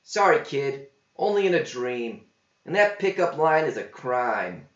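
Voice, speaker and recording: Guy doing a brash young waitress lady, dismissive, higher pitch, echoey story reading. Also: big pitch swings, measured pace. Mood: dismissive, teasing. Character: brash diner waitress, sassy young woman. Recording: roomy, slightly dull home recording.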